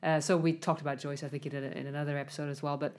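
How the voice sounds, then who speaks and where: A woman co-hosting a podcast, speaking reflectively about her own writing habits in a relaxed conversational way. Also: sits low, racing. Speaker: podcast co-host, academic. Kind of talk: casual academic chat.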